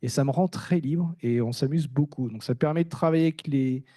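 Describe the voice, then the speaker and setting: Soft-toned man, candid and halting. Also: mid-range voice, no echo, studio quality. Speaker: staff engineer speaking on a panel. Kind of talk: informal first-person conference talk.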